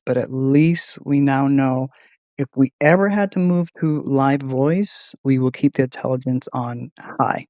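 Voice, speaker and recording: A man's voice: mid-range voice, a little mellow, dry recording, studio quality. A man giving casual spoken commentary as he hosts a technology test. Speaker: host running an AI voice test.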